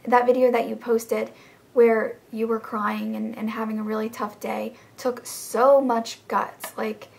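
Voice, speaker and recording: A young woman who is losing her voice, talking earnestly and encouragingly to her friends in a casual video message, often slipping into a breathy, whispery delivery. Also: fairly high pitch. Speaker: vlogger in a group channel.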